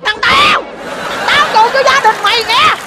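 A woman playing a fierce, loud-mouthed, theatrical mother in a stage comedy, with a southern Vietnamese accent. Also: very high-pitched, animated, a little fast, music in the background, a crowd in the background. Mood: furious. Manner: scolding, theatrical, chanting curses.

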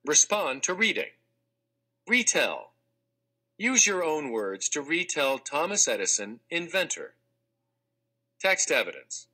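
A man narrating a children's textbook read-aloud in a clear, measured, expressive scripted delivery. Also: on the high side. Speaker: audiobook narrator.

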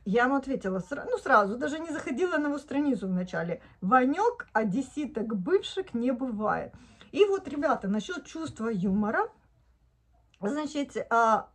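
A woman in her fifties, originally from Odesa, vents in a chatty home video diary. Her voice sits a little high. She is recorded indoors in a living room on a phone held close. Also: slightly rough. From Odesa, Ukraine. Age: 50s. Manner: chatty and indignant, bursts into laughter. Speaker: vlogger. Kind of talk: casual video diary monologue. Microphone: phone camera at arm's length.

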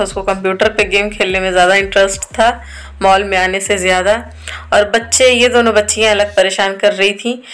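A woman's voice: mid-range voice, fairly bright tone, smooth. A woman in her thirties from India narrating her family's day out in a casual vlog voice-over, chatty and storytelling. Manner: chatty, storytelling, filler-heavy. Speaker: vlogger.

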